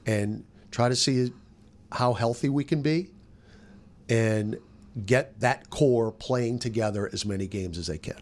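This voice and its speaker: A man's voice: mid-range voice, a little slow, a little mellow. An American man, a sports radio announcer, giving casual analysis on a roundtable discussion.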